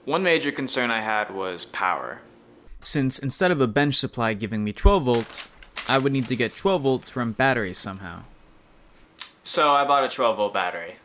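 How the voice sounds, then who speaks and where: Male host, twenties, bright tone, mid pitch, informal technical explainer. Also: lively intonation, moderate pace, a little hiss or hum. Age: 20s. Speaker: host explaining his electronics project. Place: small room indoors.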